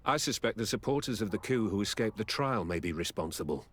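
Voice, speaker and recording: An actor playing a guarded army lieutenant in a video game, speaking in a formal, deferential way. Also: male voice, fairly low pitch, lively intonation, fast, fairly bright tone, slightly rough. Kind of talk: dramatic video game dialogue.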